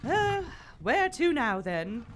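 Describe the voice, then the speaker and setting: A woman's voice: sits high, big pitch swings, slow pace, slight background noise, full character voice. A woman playing a bold, commanding lady adventurer in live radio theater, recorded on a theater stage. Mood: wry.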